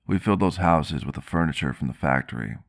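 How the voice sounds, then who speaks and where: Gentle vampire doctor, male, played by a man, intimate ASMR roleplay. Low register with fry, reflective, formal phrasing. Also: expressive, full character voice. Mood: wistful, reflective. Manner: measured, reflective, formal wording. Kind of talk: ASMR roleplay, soft intimate storytelling to the listener.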